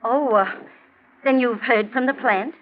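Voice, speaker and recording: A woman's voice: on the high side, unhurried, lightly acted. An actress playing a wealthy, motherly, genteel landlady with a polite, fussing manner in a 1940s radio drama. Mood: amused, curious. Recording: muffled, noisy 1940s radio recording.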